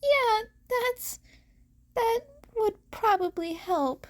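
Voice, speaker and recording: A very high-voiced, anxious teenage boy played by a woman in an audio fan story. He stammers and hesitates, sounding weighed down by guilt. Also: animated, extremely slow, exaggerated voice. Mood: nervous, tearful.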